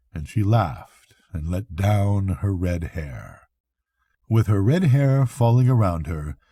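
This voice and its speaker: An older man, a grandfather, narrating a bedtime story in an expressive, theatrical way. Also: on the low side, husky, no echo, studio quality. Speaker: grandfather reading bedtime stories.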